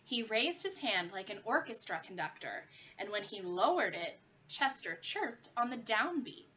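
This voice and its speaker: Animated, bright-voiced woman reading aloud. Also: medium pitch, normal speed. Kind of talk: expressive children's story read-aloud. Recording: muffled lo-fi with clear background noise.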